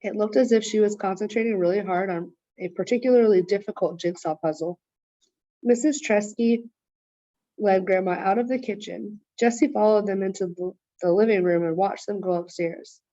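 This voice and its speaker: A woman's voice: medium pitch, normal speed, dark tone. Woman doing a read-aloud of a kids book, kinda muffled lofi audio, no echo. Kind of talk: read-aloud storybook narration.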